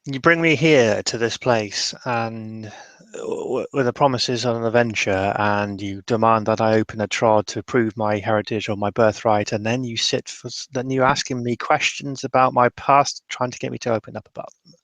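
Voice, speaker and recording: A man playing a reluctant, troubled man in a tabletop roleplay, guarded, bitter and self-deprecating, speaking in a halting, exasperated way. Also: mid-range voice, steady tone, fairly bright tone, dry recording, natural voice. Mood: exasperated, suspicious.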